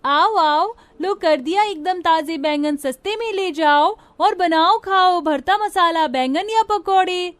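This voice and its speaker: A woman's voice: very high voice, unhurried, hardly any pauses, no room sound. A woman playing an eager poor girl selling vegetables in a story, calling out to customers. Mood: eager hawking.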